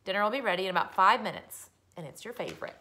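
A woman voicing a calm, unflappable mother in a children's story, in a matter-of-fact way. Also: mid-range voice, fast talker.

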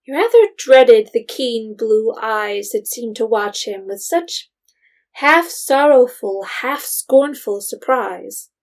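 A woman narrating a period audio drama in a measured, literary storytelling manner. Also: a little high, animated, exaggerated voice.

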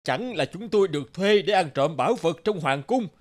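Dim giant robber for a cartoon: blustering coward, very animated, raised voice. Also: male voice, high-pitched, normal speed. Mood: scared, pleading. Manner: blustering, then cringing. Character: dim, cowardly male giant thieves, pleading. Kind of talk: cartoon acting.

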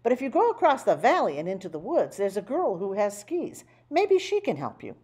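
A woman's voice: mid-range voice, huge pitch swings, brisk, darkish tone, husky, pitched above the performer's own voice. A woman voicing a helpful, eager young boy in a children's storybook, speaking with emphasis. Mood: apologetic, helpful. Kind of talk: storybook character voice.

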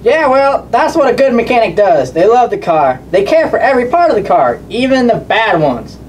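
A friendly male mechanic in a children's puppet show, acted by a woman. The voice is fairly high, folksy and easygoing. It sits a little away from the mic, with background noise. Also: big pitch swings, moderate pace, darkish tone, recorded from a distance. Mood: earnest.

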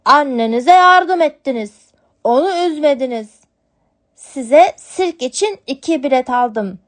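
A woman voicing a kindly, caring father cat in a storybook, gently addressing children. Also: high voice, lively intonation, unhurried, pitched above the performer's own voice. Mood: grateful.

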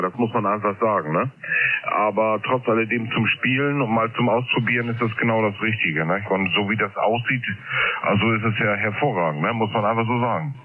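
A man chatting casually as an amateur radio operator, heard through a shortwave radio transmission. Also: fairly low pitch. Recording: narrow, noisy shortwave radio reception.

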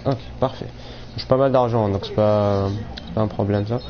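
A man's voice: on the low side, steady tone, normal speed, a crowd in the background. A young man with a cold, casually commenting on his game as he plays, rambling with many fillers. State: has a cold, voice a bit off. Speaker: gaming streamer. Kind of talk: casual let's play commentary. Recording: muffled mic with clear background noise.

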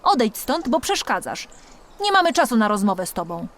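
A woman's voice: a little high, very animated, fairly quick, a bit bright. A woman voicing brusque, busy female worker ants in a children's story, curt and scolding. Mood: irritated.

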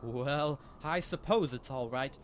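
A man's voice: medium pitch, slow, noisy background, natural voice. A young man playing Mister Bell, a nervous, hesitant guest in a radio drama. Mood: nervous, uneasy. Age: young adult. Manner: hesitant, uneasy. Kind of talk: 1930s-style radio drama acting.

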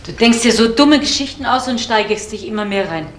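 An actress playing a worried middle-aged mother in a German crime radio play, soothing and gently reproving. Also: fairly high pitch, animated, brisk, natural voice. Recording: echoey room, clearly noisy old recording.

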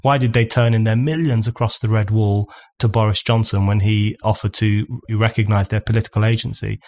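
A man's voice: fairly low pitch, little pitch movement, fairly quick, a little mellow. A man in his forties, hosting a podcast in a studio, building long, earnest arguments at a condenser mic. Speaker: podcast host. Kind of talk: conversational podcast discussion. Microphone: studio condenser mic on stand.

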